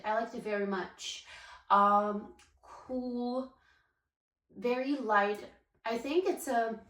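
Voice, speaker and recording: Woman, late twenties, unhurried casual vlog chat, echoey room. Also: mid-range voice. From Ukraine. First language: Ukrainian. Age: late 20s. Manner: chatty, thinking aloud with fillers and restarts. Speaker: vlogger.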